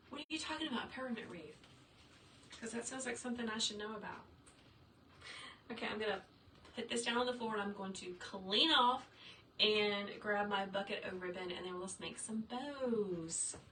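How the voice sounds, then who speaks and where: Brief: upbeat, chatty woman craft teacher, mid pitch, mic set off from her in a reverberant workshop. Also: normal speed, pauses often, bright, forceful tone. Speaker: wreath maker teaching a craft. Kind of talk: casual livestream tutorial reading viewer comments. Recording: roomy, distant sound with faint room noise.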